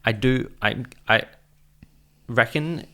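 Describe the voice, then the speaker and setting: A man's voice: medium pitch, slow pace, bright, forceful tone. A young man co-hosting a casual podcast, who rambles, thinks aloud and cracks jokes. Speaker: podcast co-host. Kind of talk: casual chat.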